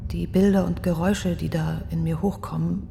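Restrained, low-voiced grieving survivor. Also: female voice, steady tone, gravelly, slight background noise. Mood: shaken, restrained. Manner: halting, restrained, hushed in places. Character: grieving young woman architect, survivor of a terror attack. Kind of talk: radio play testimony monologue. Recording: long reverberant hall, music underneath. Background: music.

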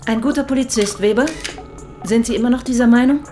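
An actress playing a stern, sceptical female chief inspector in a radio drama, brisk and authoritative. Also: fairly high pitch, over music, close to an everyday voice.

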